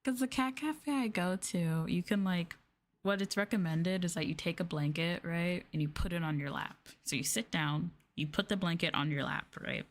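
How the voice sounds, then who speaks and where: Low-pitched woman, soft ASMR chat. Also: moderate pace. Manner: soft-spoken, gushing, affectionate, drifts into near-whispers. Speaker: ASMR streamer, VTuber host. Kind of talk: ASMR soft talking, casual chat with viewers. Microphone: close ASMR microphone.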